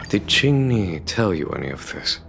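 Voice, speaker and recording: A man acting as a wise, courteous military commander in a video game, speaking in a formal, measured and deliberate manner. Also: deep voice, sing-song, vocal fry, a bit bright, full character voice. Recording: background music under the voice.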